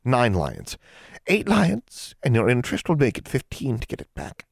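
Sly mocking old hag lender, man doing the voice, lively swooping cackle, dry close sound. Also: mid-range voice, vocal fry, no room sound, pitched above the performer's own voice, exaggerated voice. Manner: cackling, teasing, drawn-out.

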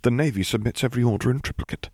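A man's voice: medium pitch, darkish tone, no echo, voice pushed up, lightly acted. Guy doing a stuffy unflappable navy captain, sly, understated, audiobook style, very dry sound. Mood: prim, sly. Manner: prim, measured, understated. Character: prim, unflappable naval captain with sly wit.

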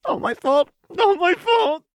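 A man's voice: extremely high pitch, animated, a little slow, dry recording, pitched above the performer's own voice, exaggerated voice. Audiobook role: volatile young woman read by a man, fragile, desperate, dry close recording. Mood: desperate denial. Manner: snarling outbursts and weak muttering.

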